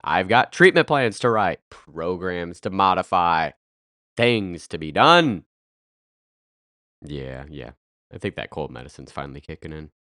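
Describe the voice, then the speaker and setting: A man in his thirties hosting a podcast, speaking with a raspy, nasal voice from a head cold, earnest with bursts of playful energy. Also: sits low, sing-song, a little slow, sharp tone, no echo, studio quality. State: congested with a cold, stuffy and nasal. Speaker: podcast host. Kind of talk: scripted podcast monologue.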